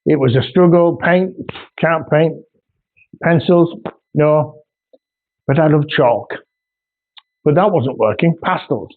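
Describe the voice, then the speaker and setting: A man's voice: fairly high pitch, slow, long pauses. A man in his sixties with a North Yorkshire accent, an artist chatting casually and ramblingly about his work. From Australia, raised in North Yorkshire. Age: 60s. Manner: rambling, homely, with many ums and restarts. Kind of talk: casual video introduction. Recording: tinny phone-like recording.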